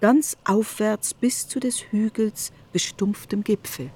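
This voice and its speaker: An actress as a grave storyteller, relating a biblical tale with deliberate literary delivery in a radio drama. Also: female voice, medium pitch, fairly even intonation, darkish tone, slight background noise, close to an everyday voice. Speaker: narrator.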